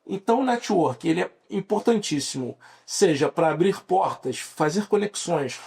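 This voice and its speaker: Brazilian guy in his 40s, lawyer on a podcast, kinda husky, higher voice, keeps restarting sentences. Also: expressive, moderate pace, darkish tone. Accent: Brazilian Portuguese. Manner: earnest, explains at length with frequent restarts. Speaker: guest lawyer. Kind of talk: podcast interview.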